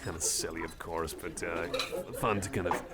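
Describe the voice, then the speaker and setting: A man voicing a friendly, nerdy cyborg card collector in an ASMR roleplay, rambling casually with a halting, apologetic manner. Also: sits low, steady tone, very noisy. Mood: nostalgic, amused. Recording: cafe chatter behind the voice. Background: cafe crowd ambience.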